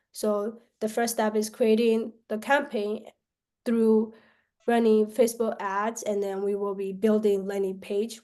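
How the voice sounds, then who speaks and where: A woman from Myanmar, a salesperson pitching her service on a call, often tagging sentences with questions and restarting them. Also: darkish tone. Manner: pitch broken up by frequent tag questions and restarts.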